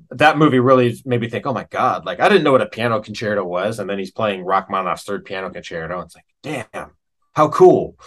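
A man's voice: mid-range voice, fairly bright tone. A middle-aged man chatting casually as an interview guest, telling stories in an enthusiastic, informal, slangy way.

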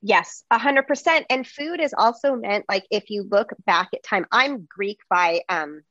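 A woman host in a casual conversation, chatty and animated, with lots of fillers. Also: mid-range voice, a little slow, no echo, muffled recording. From United States.

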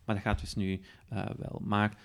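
A man's voice: on the low side, monotone, normal speed, gravelly, clean tone. A man with a Flemish accent giving a casual spoken walkthrough as a presenter, explaining step by step. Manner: step-by-step explaining, echoing the screen reader. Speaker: podcast presenter demonstrating an app.

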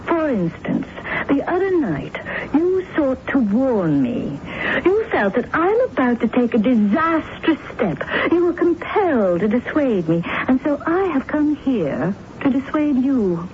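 A woman's voice: high voice, big pitch swings, measured pace, hardly any pauses. An actress playing a haughty, imperious middle-aged woman in a 1970s radio drama, theatrical and scolding in manner. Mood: earnest. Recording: tinny, band-limited old radio, hiss.

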